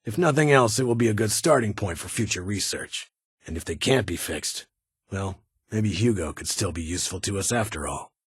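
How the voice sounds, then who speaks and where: A man's voice: on the low side, lively intonation, normal speed, husky, no room sound, clean studio sound. A man playing a stern, guarded military security chief in a video game, with a clipped, authoritative and brusque manner. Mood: measured, candid. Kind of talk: video game character dialogue.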